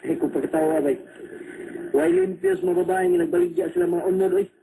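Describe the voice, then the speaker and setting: Coarse teasing sidekick, Cebuano accent, very gravelly and animated, radio drama. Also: male voice, very high-pitched, fast talker, exaggerated voice. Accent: Cebuano Filipino. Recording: noisy radio broadcast.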